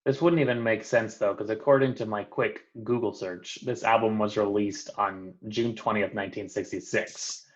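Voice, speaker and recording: A man's voice: fairly low pitch, fairly even intonation, normal speed, hardly any pauses. A man talking casually as a panelist on a podcast discussion. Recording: slightly dull lo-fi audio.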